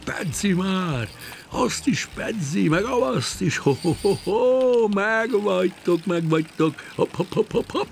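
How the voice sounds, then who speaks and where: A boisterous storybook fisherman, full of schemes and drama. His voice leaps up high and swoops around theatrically, with big booming cries and hearty ho-hos. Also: lively intonation, gravelly, slight background noise. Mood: excited triumph.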